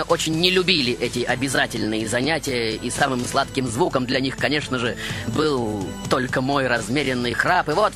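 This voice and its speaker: A man playing a proud, stubborn baroque composer, telling his story as a theatrical radio monologue with rhetorical pauses. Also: fairly high pitch, lightly acted. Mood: playful, confiding. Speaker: radio show host in character. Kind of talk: dramatic first-person radio monologue.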